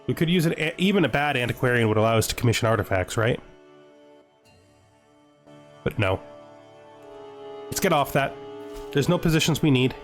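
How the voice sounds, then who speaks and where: A man hosts a casual strategy game playthrough in a medium-pitched voice. He thinks aloud through his options, often checking himself with a short questioning tag. Music plays behind him. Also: expressive, moderate pace, long pauses. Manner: talks through decisions to himself, often asks "right?". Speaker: gaming video host playing a strategy game. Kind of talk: casual let's-play commentary, thinking aloud.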